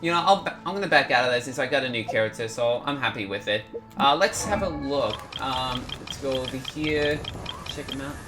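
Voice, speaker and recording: A man hosting a gaming video, giving casual, halting commentary as he thinks aloud. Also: mid-range voice.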